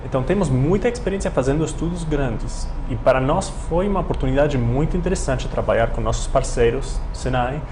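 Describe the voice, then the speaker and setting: A man from the United States and Argentina, a guest researcher in a live TV interview, speaking haltingly while still learning the language. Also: mid-range voice. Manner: hesitant, searching for words while learning the language. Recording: noisy background.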